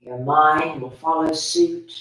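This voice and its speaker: A meditation teacher guiding listeners gently and soothingly, with drawn-out phrases and pauses. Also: medium pitch, fairly even intonation. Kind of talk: guided meditation instruction. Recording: very echoey room, distant from mic.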